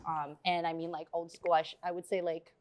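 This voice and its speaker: A woman's voice: fairly low pitch, flat delivery, a little fast. A young woman from the Philippines, a singer answering interview questions in a friendly, hesitant way with many ums.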